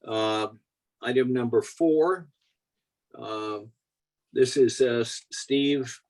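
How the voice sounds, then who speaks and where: A man's voice: mid-range voice, very slow, a little mellow. A man chairing a public commission meeting, hesitant with ums and restarts.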